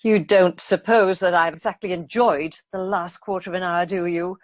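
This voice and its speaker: A woman's voice: lowish voice, fairly even intonation, moderate pace, dry recording, lightly acted. Woman as an imperious lady, close dry sound. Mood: aggrieved, stern. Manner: formal, reproachful. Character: aggrieved, imperious English lady of the manor. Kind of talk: radio play acting.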